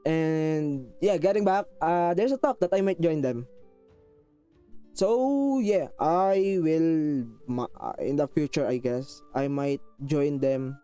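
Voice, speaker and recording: A man's voice: a little high, takes it slowly, mellow, clean tone, soft background music. A man from the Philippines with a thick Filipino accent, chatting casually with viewers on a live stream, rambling and restarting sentences, apologetic and a little awkward. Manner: rambling, frequent restarts, self-conscious and apologetic; switches into Tagalog phrases. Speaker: VTuber streamer.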